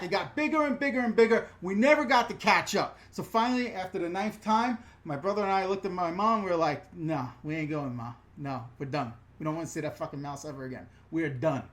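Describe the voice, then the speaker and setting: Animated, profane New York-accented man. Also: high-pitched. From New York/New Jersey. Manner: animated, profane, rambling with digressions and self-corrections. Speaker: channel host answering live chat questions. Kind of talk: casual live-stream Q&A.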